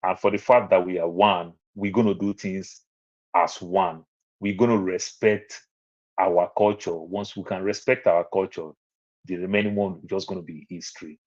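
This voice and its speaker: Man, Nigerian accent, low voice, rambling storyteller, muffled online audio. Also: fairly even intonation, moderate pace, darkish tone. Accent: Nigerian English. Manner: rambling, storytelling, repeats phrases. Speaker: panelist. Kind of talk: casual online panel talk.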